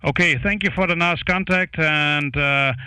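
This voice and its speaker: A man with a Dutch accent, a native Dutch speaker, making contact as an amateur radio operator, speaking English haltingly with many hesitations. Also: a little high, pushed and bright, clean tone, dry recording, low-quality recording. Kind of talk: ham radio contact chat. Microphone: close studio broadcast microphone.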